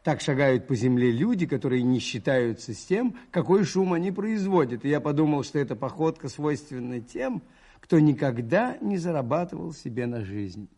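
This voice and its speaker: An actor playing a thoughtful, observant priest detective in a radio play, musing aloud with a husky, mellow voice. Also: male voice, a little high, big pitch swings, normal speed. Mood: reflective. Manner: musing, questioning himself aloud. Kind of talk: radio play narration and monologue.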